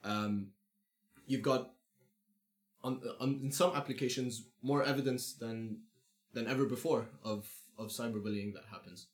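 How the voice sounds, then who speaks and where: A man with a mid-range voice who makes his points slowly and deliberately, pausing on ums and starting over as he goes. The sound is noticeably echoey, as if from a bare room. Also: medium pitch, steady tone. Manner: measured, many ums and restarts. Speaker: discussion participant. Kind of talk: casual group discussion.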